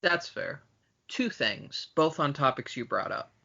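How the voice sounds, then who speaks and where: A man's voice: on the high side, expressive, unhurried, vocal fry, pushed and bright, highs cut off. A man co-hosting a podcast in casual film chat among friends, rambling conversationally and swearing freely. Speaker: podcast co-host.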